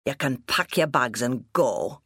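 A woman's voice: low voice, normal speed, a bit bright, no echo, studio quality. A woman voicing a stern, gaunt farmer father in an audiobook, with a growling, sarcastic manner and rustic dialect. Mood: threatening. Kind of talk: audiobook character dialogue.

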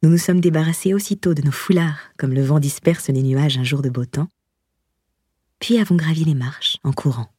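A woman narrating a memoir as an audiobook, in a breathy, husky voice with a measured, expressive literary delivery. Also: deep voice, dry recording, studio quality.

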